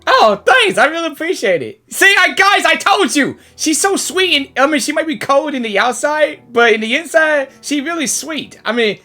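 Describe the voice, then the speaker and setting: A woman's voice: high-pitched, animated, moderate pace, hardly any pauses, pushed and bright. A woman giving casual reaction commentary on a game, rambling and exclamatory as she repeats herself. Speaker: reaction video host. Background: game music.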